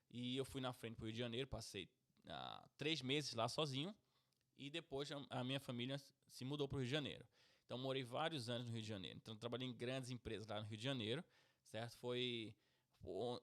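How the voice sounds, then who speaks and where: A man's voice: medium pitch, fairly bright tone. Middle-aged Pernambucan man, casual podcast host, mid-range voice, talkative. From Brazil, Pernambuco. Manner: chatty, keeps tagging "certo?" and "beleza?".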